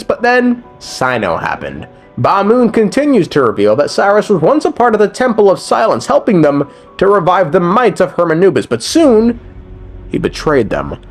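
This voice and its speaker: A man narrating a video game story recap in a casual, scripted way, with slangy, irreverent asides. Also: on the high side.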